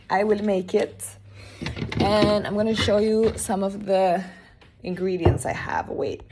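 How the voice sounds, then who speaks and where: A woman's voice: medium pitch, a little mellow. A young woman from Norway, chatting casually and rambling off on tangents while she cooks in her home kitchen. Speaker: cooking vlogger. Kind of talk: casual cooking vlog chat. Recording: slightly distant mic with faint room noise.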